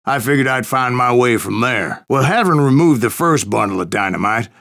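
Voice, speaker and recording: A grizzled old gunslinger narrating a western game. His mid-pitched male voice is rough and crackling with gravel, carried by an American Western drawl. He spins his boastful tales in a rambling, folksy and theatrical way. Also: normal speed, fairly bright tone. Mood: boastful, recounting. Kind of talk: dramatic first-person storytelling narration for a western game.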